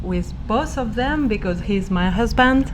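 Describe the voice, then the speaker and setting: A woman from Switzerland, an artist speaking English haltingly, searching for her words in an interview. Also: mid-range voice, lively intonation, a little hiss or hum, poor audio. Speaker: artist and director. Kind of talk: interview answers.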